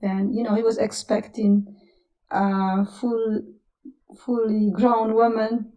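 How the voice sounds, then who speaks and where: A woman in her thirties with an Italian accent, calling in to a live chat show and talking in halting English with many fillers. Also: a little high, little pitch movement, mellow, low-quality recording. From Italy. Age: 30s. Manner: halting, many fillers and restarts, laughs often. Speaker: caller. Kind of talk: casual live call-in chat.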